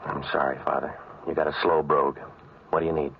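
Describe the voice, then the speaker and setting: An American actor playing a cynical waterfront odd-job man in a 1940s radio noir, delivering sardonic, deadpan wisecracks and narration. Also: rumbling low, quick pace, vocal fry, husky, heavily acted. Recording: muffled, noisy old radio recording.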